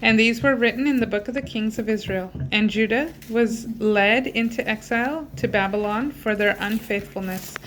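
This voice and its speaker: Bright cheerful mom leading family reading. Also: female voice, on the high side, normal speed, hardly any pauses, slight background noise, low-quality recording. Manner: cheerful, laughing, guiding the kids. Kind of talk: casual family chat and reading.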